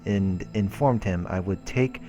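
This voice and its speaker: A man hosting a let's play, reading visual novel narration aloud in a measured way. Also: deep voice, warm tone, highs cut off. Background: game background music.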